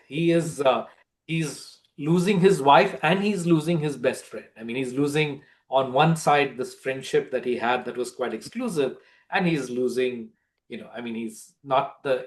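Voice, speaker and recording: Man, mid-pitched. Storyteller fielding comments, informal and conversational, circles back to stress points. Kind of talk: casual discussion response.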